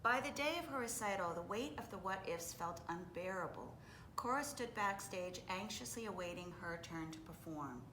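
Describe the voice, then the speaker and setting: A woman reading a children's story aloud expressively, with deliberate, dramatic phrasing. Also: expressive, pushed and bright. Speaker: storybook reader. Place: indoors, library. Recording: echoey room with faint rumbling noise.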